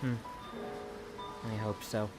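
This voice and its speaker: A man's voice: lowish voice, little pitch movement, slow pace, music in the background. A man playing a shy, earnest young former student, full of gratitude, in a dramatic audio story reading. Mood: somber.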